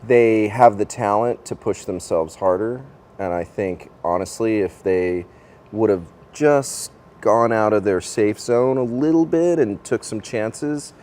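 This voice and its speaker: Lowish voice guy, straight-talking judge. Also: measured pace, dark tone. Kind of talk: panel critique.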